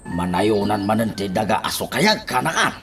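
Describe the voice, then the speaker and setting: A man playing a grumbling, excitable boss in an Ilocano radio drama. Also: lowish voice, moderate pace, over loud music. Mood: grumbling, protesting.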